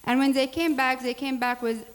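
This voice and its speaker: A woman's voice: high voice, fairly even intonation, moderate pace. A woman from Syria, speaking earnestly as a board president introducing a program, with small grammar slips. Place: church hall.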